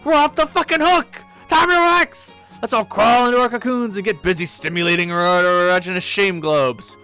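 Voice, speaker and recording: A man's voice: a little high, big pitch swings, a little fast, bright, forceful tone, faint music behind, pitched above the performer's own voice, heavily acted. A man voicing a sly, cocky teenage female alien troll who taunts and mocks. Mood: gleeful. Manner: mocking, gleeful, taunting.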